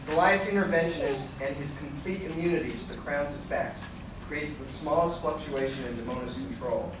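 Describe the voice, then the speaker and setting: A man's voice: a little high, normal speed. A man reading scripted dramatic narration as the narrator of a staged play. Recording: echoey hall, distant mic, noisy.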